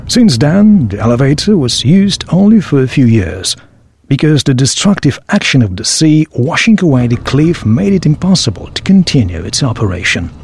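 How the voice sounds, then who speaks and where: A man's voice: medium pitch, very animated, moderate pace, darkish tone, slight background noise. A man playing a knowledgeable, obliging local tour guide in a scripted audio drama, speaking in a formal, presentational way. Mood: informative, obliging.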